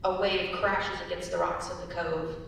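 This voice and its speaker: A woman's voice: little pitch movement, normal speed, vocal fry, a bit bright. A young woman reading stage directions as scripted narration at a dramatic reading. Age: young adult. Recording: very echoey hall, distant mic, hiss and rumble.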